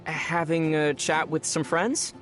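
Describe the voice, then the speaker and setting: An actor playing a gentle, teasing young male adventurer in a video game, speaking in a measured, wry way. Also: a little high, level tone. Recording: music behind the voice.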